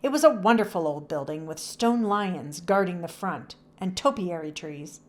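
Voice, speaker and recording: Animated woman reading a children's story. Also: on the low side, moderate pace. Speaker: children's book read-aloud narrator. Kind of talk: expressive scripted children's story narration.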